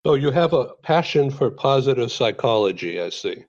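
A man hosting an online radio talk show, introducing a guest and asking questions in a formal, measured way. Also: medium pitch, very animated, darkish tone, no room sound, dull audio. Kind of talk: scripted introduction, interview questions. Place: San Diego.

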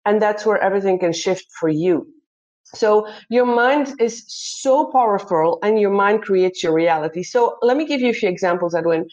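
A woman's voice: mid-range voice, expressive, normal speed, darkish tone. Upbeat Belgian-raised woman, slightly muffled audio. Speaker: guest, life coach. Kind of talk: casual interview talk.

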